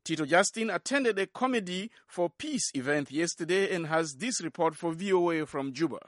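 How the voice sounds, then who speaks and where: A man's voice: fairly high pitch, moderate pace. A man hosting a radio news program, reading formal scripted links. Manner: steady, formal announcing.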